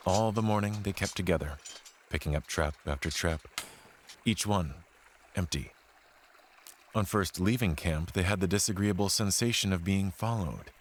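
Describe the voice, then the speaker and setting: A man gives a measured, solemn, literary narration of a scripted horror tale. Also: low voice. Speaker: storyteller narrating an audio play. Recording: music behind the voice. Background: background music.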